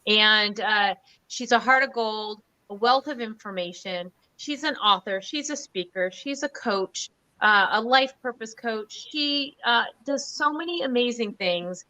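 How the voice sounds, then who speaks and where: A woman's voice: a little high, measured pace, pushed and bright, poor audio. A woman hosting a casual online show over a video call, chatty and gushing as she introduces her guest. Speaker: host.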